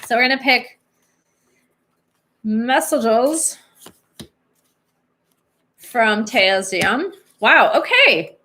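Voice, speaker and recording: Chatty woman on a webcam livestream, kinda high and bright, voice getting tired, small room. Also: drawn-out words, long pauses. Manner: chatty and animated, gesturing with her hands. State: losing her voice. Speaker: livestream host and twin flame reader. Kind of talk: live Q&A answering viewer comments.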